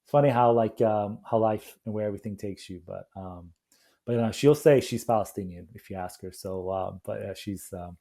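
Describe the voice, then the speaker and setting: A man's voice: lowish voice, creaky, warm tone. A man speaking casually as an interview guest, feeling his way through sentences and repeating words. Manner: halting, repeats words and agrees readily. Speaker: interview guest, coffee company founder.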